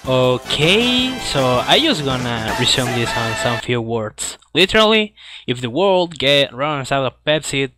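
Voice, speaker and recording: A man's voice: mid-range voice, sing-song, measured pace. A man who is a non-native English speaker, giving hesitant, rambling live commentary as a speedrunner playing a game. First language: not English. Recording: loud game music behind the voice. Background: video game music.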